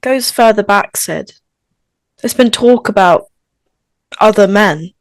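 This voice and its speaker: A woman's voice: mid-range voice, slow, dry recording. A woman playing a blunt, chatty teenage best friend in a script table read. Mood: frank, gossipy.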